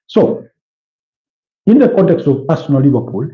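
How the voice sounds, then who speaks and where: A man's voice: on the high side, sing-song, normal speed. A man with a Ugandan accent hosting a casual football preview livestream, chatting with viewers in a hesitant way and repeating phrases. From Kampala, Uganda. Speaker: livestream host. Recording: dull, roomy, echoey sound.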